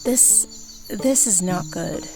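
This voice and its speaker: A woman playing a sensible, calm woodland woman in a fantasy audio drama, speaking practically. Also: medium pitch, drawn-out words, music in the background. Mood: welcoming, then troubled.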